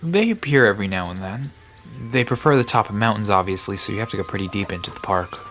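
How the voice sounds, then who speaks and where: A man's voice: on the low side, animated, quick pace, a bit bright. A man playing a friendly park guide who explains myths conversationally in an audio drama. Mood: casual. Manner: explanatory storytelling. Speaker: storyteller guide. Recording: background noise with outdoor ambience.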